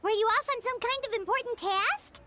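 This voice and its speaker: A woman voicing a tiny, childlike fairy companion in an anime game, excitable and curious. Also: very high voice, fairly quick, a little hiss or hum. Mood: curious, puzzled. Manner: speaks of self in third person, exclamatory. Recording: music behind the voice.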